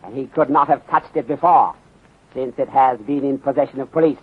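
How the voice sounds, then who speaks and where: An actor in a 1930s radio drama playing a calm, methodical detective inspector with a put-on Chinese accent and formal, clipped sentences. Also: male voice, mid-range voice, slightly rough, natural voice. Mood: calm, accusing. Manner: measured, formal, clipped sentences without articles. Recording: muffled, phone-like 1930s radio recording.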